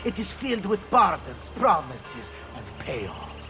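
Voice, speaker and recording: Florid Italian schemer, high-pitched and lively, for game drama. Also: male voice, unhurried, soft background music, full character voice. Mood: gleeful scheming. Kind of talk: dramatic video game cutscene acting.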